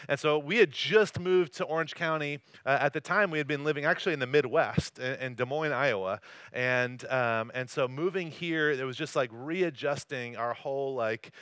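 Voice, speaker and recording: A man's voice: medium pitch, sharp tone, clean tone, no echo. A man from North Carolina, probably in his thirties, preaching a conversational sermon as a campus pastor, mixing jokes and self-deprecating stories. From North Carolina, USA. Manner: joking, self-deprecating, loose storytelling. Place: church service.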